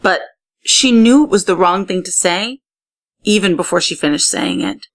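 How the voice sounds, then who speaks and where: A woman narrating a children's story in an expressive read-aloud style, putting emphasis on key words. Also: lowish voice, moderate pace. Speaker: story reader.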